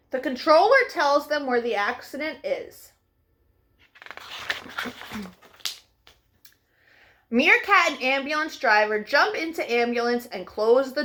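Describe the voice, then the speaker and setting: A woman's voice: high-pitched, pushed and bright. A woman hosting a children's storytime, reading picture books aloud expressively, with playful sound effects. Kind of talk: animated picture-book read-aloud for young children.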